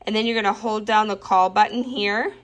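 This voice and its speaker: A woman with an average-pitched voice talks through a product in a relaxed, unscripted way. She sounds informal and chatty, with clear enthusiasm as she explains and demonstrates. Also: fairly quick. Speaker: product reviewer.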